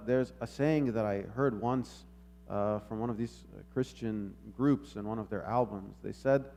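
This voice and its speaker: Male preacher, mid-pitched voice, reflective explanatory sermon style with halting, repeated phrasing. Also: a little mellow. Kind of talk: sermon, explanatory and semi-extemporaneous.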